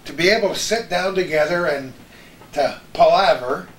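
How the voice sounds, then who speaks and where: A man's voice: fairly high pitch, normal speed, fairly bright tone. An older man with a genial, folksy broadcaster's way of talking, hosting a casual chat of reminiscences. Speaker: talk show host. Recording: roomy, distant mic, faint noise.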